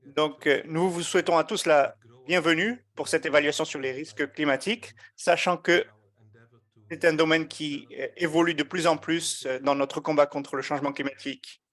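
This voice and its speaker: A man giving a live interpretation of an online meeting, measured and often hesitating as he keeps up with the speakers. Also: on the high side, fairly even intonation, fairly bright tone. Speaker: simultaneous interpreter.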